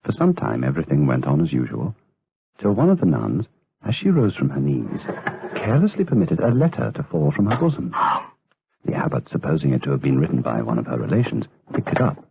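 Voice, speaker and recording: An actor serving as the grave storyteller of a gothic radio drama, narrating in a measured, literary style. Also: male voice, very deep voice, huge pitch swings, vocal fry, narrow, tinny audio, slight background noise, heavily acted. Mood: solemn, measured.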